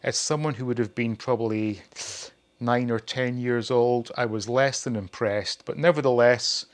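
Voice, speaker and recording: A man's voice: medium pitch, steady tone, moderate pace. A man in his forties hosting a casual hobby review, chatting wryly to his viewers. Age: 40s. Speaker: host of a retro toy channel.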